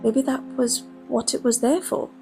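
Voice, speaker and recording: An actress playing a grieving young woman, who speaks in a subdued, halting way in an audio drama. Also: a little high, steady tone, vocal fry, over music.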